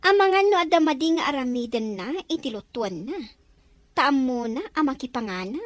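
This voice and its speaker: A woman's voice: sits high, expressive, normal speed, no room sound. A woman playing a sly, falsely sweet young schemer in an Ilocano-accented audio drama. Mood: sly, scheming. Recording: faint background noise.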